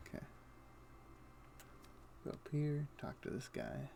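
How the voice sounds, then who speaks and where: A man's voice: a little high, takes it slowly, mellow, slight background noise. A man casually thinking aloud in short, muttered fragments as he streams his gameplay. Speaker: game streamer.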